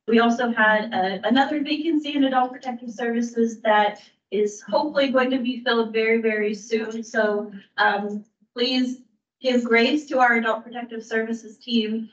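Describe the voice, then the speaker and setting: A woman who works for a county aging services bureau, giving a staff update at a public meeting in a measured way, with frequent ums and restarts. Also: a little high. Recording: distant roomy mic, dull sound.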